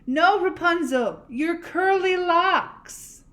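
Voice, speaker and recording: Lady doing a young storybook prince in love, calling up loud n high, pleading, kinda exasperated, stretched out words. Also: extremely slow, heavily acted. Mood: hopeful calling. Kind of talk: storybook read-aloud character voice.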